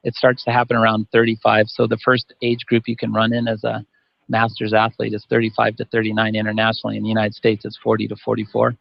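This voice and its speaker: A man's voice: lowish voice, monotone, fast, vocal fry, no echo. A man in his fifties answering on a panel, rambling and restarting his sentences often. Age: 50s. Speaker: masters runner guest. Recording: dull dry audio.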